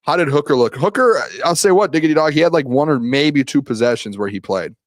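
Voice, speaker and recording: A man's voice: fairly high pitch, fast, dry recording, clean studio sound. A young man hosting a sports show, rambling through a practice recap and reading viewer comments while losing his voice. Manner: rambling, lots of restarts, reads viewer questions aloud. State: losing his voice, clears throat. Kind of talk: solo live-stream recap, reading chat comments.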